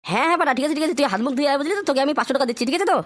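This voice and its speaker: A man voicing a fussy, coaxing father in an amateur comic cartoon, nagging repetitively in a pitched-up voice. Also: rapid-fire, a bit bright. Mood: placating. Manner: repetitive nagging with questioning tag words. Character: fussy, coaxing father rousing his foul-mouthed son.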